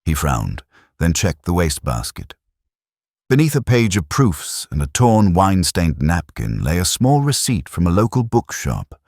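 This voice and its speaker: A man with an extremely low voice narrates a detective story in the first person. His delivery is measured and solemn, though the pitch rises and falls in a lively way. The recording is dry and close, with a literary, scripted feel. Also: no room sound. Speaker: narrator in the part of a police inspector.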